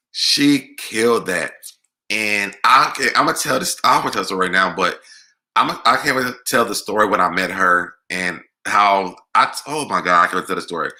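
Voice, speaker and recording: An American man with a deep voice hosting a casual music Q&A, talking conversationally and repeating words for emphasis. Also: bright, forceful tone. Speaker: online show host. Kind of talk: casual live Q&A chat about music.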